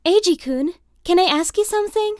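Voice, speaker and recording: A woman playing a kind, polite, eager high school girl in a manga video, humble and apologetic in manner. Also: lively intonation, moderate pace, darkish tone, no room sound, studio quality. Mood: polite, earnest. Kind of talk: manga video character dialogue.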